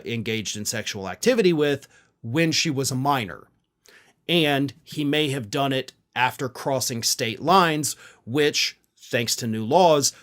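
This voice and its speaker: Higher-pitched man giving pointed political commentary. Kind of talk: informal news commentary.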